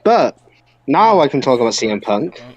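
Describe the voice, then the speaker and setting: A man's voice: mid-range voice, sing-song, normal speed, lo-fi sound. A man co-hosting a wrestling podcast, talking in an emphatic, opinionated, ranting way. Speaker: podcast co-host.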